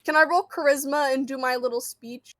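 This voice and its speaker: High-voiced woman chatting through a tabletop game. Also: steady tone, brisk, a bit bright, dry recording, clean studio sound. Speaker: player in a tabletop roleplaying game. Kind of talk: casual improvised roleplay chat.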